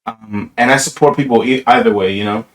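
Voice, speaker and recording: A man's voice: mid-range voice, fairly quick, rough recording. A young man from New Jersey on a podcast, speaking in a thoughtful, reflective way with many "you know"s. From New Jersey, USA. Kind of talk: casual chat.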